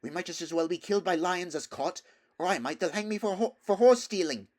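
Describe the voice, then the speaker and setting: A man's voice: high-pitched, brisk, a little mellow, voice pushed up. A man voicing an anxious, plain-spoken young boy in a storybook, earnest and exclaiming. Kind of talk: storybook character voice.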